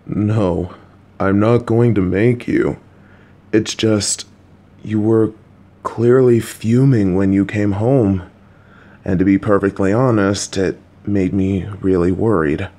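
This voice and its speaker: A man voicing a kind, worried, clueless father who talks to his child in a gentle, patient, anxious, rambling way. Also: lowish voice, steady tone, slow pace. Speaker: voice actor. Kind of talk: audio roleplay for a listener.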